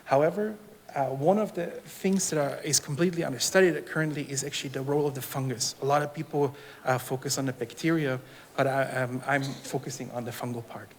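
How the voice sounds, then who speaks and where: A man from Austria with an Austrian accent, a cancer researcher giving a public talk in a friendly, halting way with many fillers. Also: medium pitch, steady tone. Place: city hall event room. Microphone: microphone on stand. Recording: reverberant hall, faint noise.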